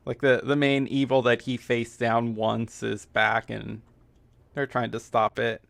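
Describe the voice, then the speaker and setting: A man from the United States whose first language is Spanish, giving halting, filler-heavy casual commentary while streaming a game playthrough. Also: mid-range voice, level tone, creaky, fairly bright tone, no room sound. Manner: halting, full of fillers and restarts. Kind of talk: casual let's-play commentary.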